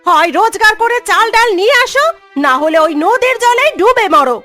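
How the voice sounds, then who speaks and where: A woman playing a sharp-tongued, nagging, angry wife of a poor fisherman in a cartoon, scolding and bossy. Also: very high voice, lively intonation, normal speed, full character voice. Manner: scolding, commanding. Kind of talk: cartoon dialogue. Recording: music behind the voice.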